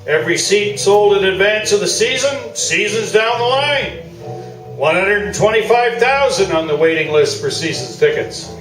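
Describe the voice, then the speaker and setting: A man's voice: high voice, animated, moderate pace. An actor playing a pompous, self-satisfied male sports columnist who pontificates grandly in a live comic radio play. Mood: pontificating. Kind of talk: staged talk-show debate, comic radio play. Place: live theatre, mic at a distance. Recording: roomy distant stage recording, music behind.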